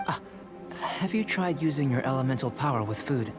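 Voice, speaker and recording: A man acts out a bookish young researcher for a video game. He speaks formally and calmly in a middle register, precise and polite. Music plays clearly behind him. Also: medium pitch, close to an everyday voice. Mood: calm, curious. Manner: measured, formal, scholarly. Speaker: video game character actor. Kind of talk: scripted game voice acting.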